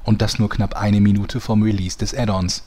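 A man's voice: on the low side, flat delivery, moderate pace, warm tone, natural voice. A man playing the wry narrator of a German parody audio drama, in scripted comic narration. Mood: wry narrating. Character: wry male storyteller of a parody soap.

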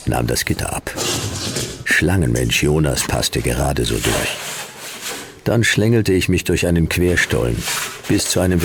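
An actor playing a cynical hard-boiled detective in a noir radio drama, speaking in dry, clipped, deadpan phrases. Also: male voice, a bass voice, creaky, noisy background, exaggerated voice.

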